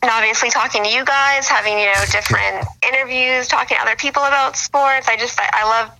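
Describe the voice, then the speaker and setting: A woman's voice: a little high, fairly even intonation, quick pace, pushed and bright, poor audio. A young woman from Boston, chatting as an interview guest with many fillers and restarts. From Boston, USA. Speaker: sports blogger guest. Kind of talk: casual interview on a phone line.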